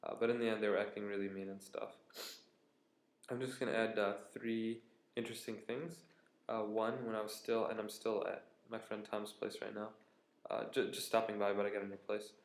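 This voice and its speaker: A young American man telling stories to his vlog camera in a tired, rambling, relaxed way, indoors at a friend's apartment. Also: lowish voice, fairly quick. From United States. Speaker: vlogger. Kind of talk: casual storytelling vlog. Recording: echoey room.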